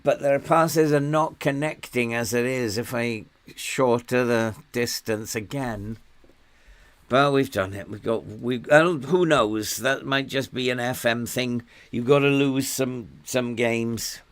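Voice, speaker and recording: A slow, unhurried older man from England talks through a football management game, half to himself. His voice is hoarse and worn, close to giving out, and his pitch sits in the ordinary middle range for a man. He mutters his reactions as the match plays out. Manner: muttering, talking to himself while playing. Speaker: gaming YouTuber. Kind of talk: casual gameplay commentary.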